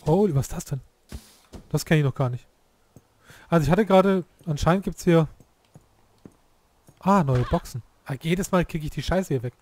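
Hoarse excitable gamer guy. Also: fairly high pitch, moderate pace, long pauses. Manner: excitable, swears, exclaims at the game. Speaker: let's play youtuber. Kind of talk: casual gameplay commentary.

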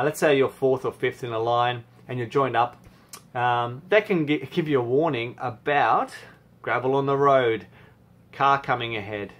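A man's voice: medium pitch, fairly bright tone. A man in his thirties giving a casual, rambling review to camera from a small room at home, with many restarts and fillers. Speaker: motorcycle vlogger reviewing gear.

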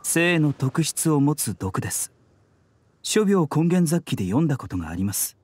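An actor playing a composed, courteous young male physician in an anime game, polite, measured and gently enigmatic. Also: a little high, very animated, slight background noise. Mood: grave. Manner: polite, measured, softly teasing.

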